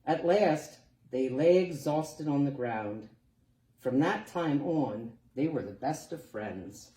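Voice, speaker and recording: Slow, expressive woman storyteller in a roomy space. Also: a bass voice. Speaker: library storytime reader. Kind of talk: animated children's story reading. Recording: roomy, distant mic, faint background noise.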